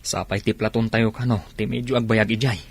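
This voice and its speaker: A man's voice: lowish voice, flat delivery, fairly quick, slightly rough. An actor playing a soldier passing news to his comrade in an Ilocano radio drama. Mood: urgent, matter-of-fact. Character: male soldier relaying news to his buddy.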